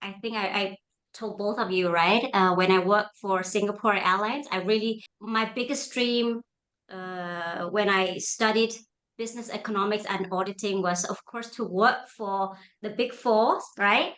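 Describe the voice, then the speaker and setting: Woman in her thirties from Denmark, interview guest on a video call, mid-pitched, chatty, tags her lines with "right?". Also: a little slow, a bit bright, low-quality recording. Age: 30s. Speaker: guest, leadership coach. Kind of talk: casual interview answers.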